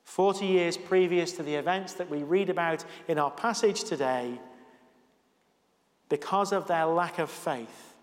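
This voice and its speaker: A man's voice: on the high side, measured pace. A male preacher giving a sermon in a church, chatty with self-deprecating asides. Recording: long church reverb.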